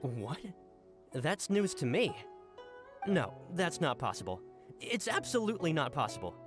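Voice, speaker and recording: A man's voice: a little high, very animated, measured pace, music in the background. A man voicing an anxious, deferential investigator in a video game, earnest and flustered. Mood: flustered, defensive.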